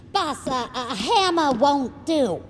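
A woman voicing a squeaky, sneaky villain's henchman creature with a cautious, fawning manner in a comic audio drama. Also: expressive, slow pace, a little hiss or hum. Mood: nervous fawning. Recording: reverberant room with background ambience.

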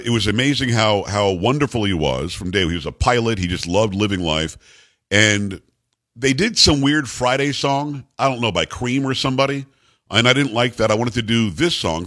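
Male talk radio host, deep bass voice, loud and upbeat, dry studio sound. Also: expressive, moderate pace, no echo. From New York. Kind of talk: energetic talk radio monologue.

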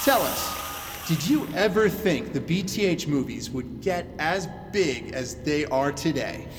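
Flippant male stage emcee, high animated voice, slow showman delivery, glib hype, audio drama. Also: background noise. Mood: glib, upbeat. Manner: announcer hype, glib and jokey. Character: showy, flippant male fan-convention panel host.

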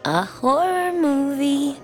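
An actress playing a forthright small-town diner waitress in an audio drama, wry, folksy and warm-hearted. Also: female voice, high voice, very animated, slow, soft background music, exaggerated voice. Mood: coaxing. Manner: teasing, folksy, direct. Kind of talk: naturalistic audio drama dialogue.

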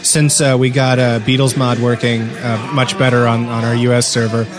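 A man with an average-pitched voice chats as a podcast co-host. His talk is casual and informal, with music clearly audible behind him. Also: level tone, moderate pace. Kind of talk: casual chat. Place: indoors at a LAN party.

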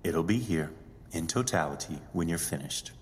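An actor playing a haughty, secretive rich heir in an audio drama, speaking in formal, deliberate, pompous phrases. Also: male voice, low voice, animated, big-room sound, slight background noise. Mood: coolly imperious. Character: haughty wealthy male heir, secretive and imperious.

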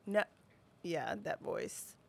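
A woman's voice: deep voice, expressive, slow pace, creaky, husky. A woman co-hosting a podcast in casual, informal chat, addressing listeners in a folksy way. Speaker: podcast co-host.

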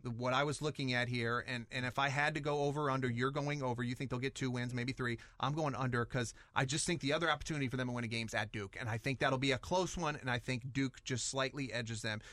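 A man hosts a sports podcast in a relaxed, chatty way and keeps the questions moving. His voice sits at a middle pitch. He is recorded close and dry, with no room sound. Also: quick pace, hardly any pauses, no room sound. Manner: easygoing, conversational, leads the questions. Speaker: podcast host. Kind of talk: casual sports podcast hosting.

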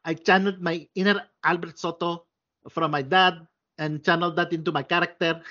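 A man's voice: a little high, fairly even intonation, a little fast, bright, forceful tone. A man hosting a casual chat show, talking at length with many fillers and restarts. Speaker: podcast host. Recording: dull lo-fi sound.

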